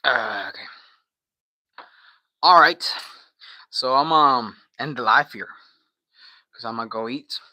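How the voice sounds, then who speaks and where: Bright, punchy man chatting on a livestream. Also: medium pitch, lively intonation, slow pace, long pauses, no room sound, dull audio. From Guatemala. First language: Spanish. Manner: slangy, bro-heavy, swearing. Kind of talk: casual livestream chat.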